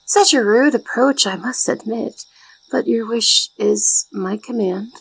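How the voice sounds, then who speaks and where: A woman playing a shy, devoted young maid in a soft ASMR roleplay, speaking politely and formally with flustered hesitation in a soft, breathy voice. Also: on the high side, takes it slowly, exaggerated voice, low-quality recording. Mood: coy.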